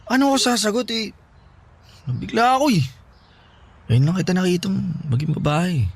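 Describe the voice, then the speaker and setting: A man's voice: high voice, big pitch swings, normal speed, full character voice. An actor playing a teasing, bewildered young male best friend in a radio drama, mocking and incredulous. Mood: teasing, defensive. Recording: clear background ambience noise.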